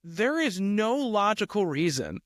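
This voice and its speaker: Man, high-pitched for a male, podcast co-host, explanatory, casual film chat, dry room-free sound. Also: sing-song, measured pace, fairly bright tone, no echo.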